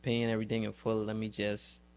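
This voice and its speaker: A man hosting a casual interview, halting and repeating words as he works out his questions. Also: on the low side, completely flat delivery. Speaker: podcast host.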